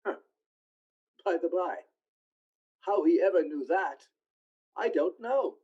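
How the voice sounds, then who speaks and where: An actor playing a poor, gentle clerk and grieving father in a radio play, with halting, emotional delivery. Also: high voice, slightly rough, heavily acted. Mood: fond, cheerful. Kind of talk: stage-style radio play acting. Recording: slightly dull lo-fi recording.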